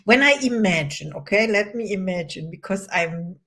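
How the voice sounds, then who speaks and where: A woman hosts an interview in English, a language that is not her first. Her pitch sits in an everyday middle range, and she talks in a thoughtful way, searching for her words as she goes. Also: moderate pace, pushed and bright. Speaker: interview host. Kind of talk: conversational interview.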